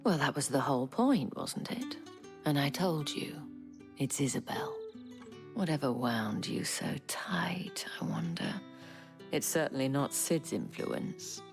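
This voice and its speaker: Shrewd fantasy madame: refined, deliberate, teasing, strikingly low female register, light music underneath. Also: expressive, whispered. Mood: teasing, gracious. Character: poised, shrewd mature madame of a fantasy brothel. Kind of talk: video game cutscene acting. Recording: faint music behind the voice.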